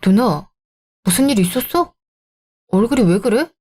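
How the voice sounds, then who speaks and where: A woman's voice: a little high, animated, a little slow, dark tone, soft background music. Brief: a woman playing a cheeky kid brother who mocks his sister, radio drama. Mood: teasing. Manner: teasing, sarcastic. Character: teasing younger brother, cheeky young man.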